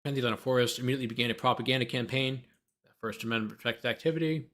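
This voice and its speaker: A man's voice: mid-range voice, very fast, a bit bright. A man who hosts a livestream as a lawyer, reading a document aloud and breaking off into sarcastic, exasperated, argumentative commentary.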